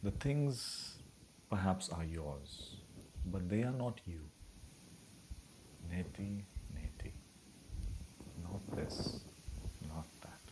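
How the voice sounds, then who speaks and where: A man leading a guided meditation in a gentle, soothing, unhurried way with long pauses between phrases. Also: deep voice, animated, background noise.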